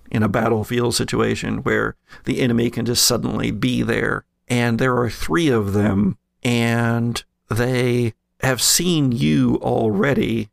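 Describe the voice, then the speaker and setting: A man working as game master, narrating a tabletop horror game in a deliberate storyteller's way mixed with casual chat. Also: medium pitch, gravelly, no room sound.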